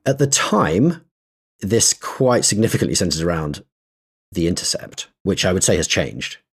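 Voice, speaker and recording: Deep husky man explaining. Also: big pitch swings, normal speed. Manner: explanatory, thinks aloud with frequent restarts and small laughs. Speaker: podcast co-host. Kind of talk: casual conversational podcast.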